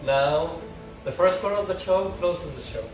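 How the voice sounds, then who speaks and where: A man's voice: fairly high pitch, unhurried, over music. A man speaking as a fan, reading stage directions aloud or chatting casually. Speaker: fan table reader and commentator. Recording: echoey, far from mic, noisy room.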